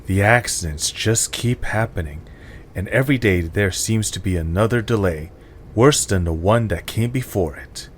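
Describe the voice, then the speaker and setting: A man reading dramatic scripted narration in a solemn, measured manner. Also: on the low side, a little hiss or hum.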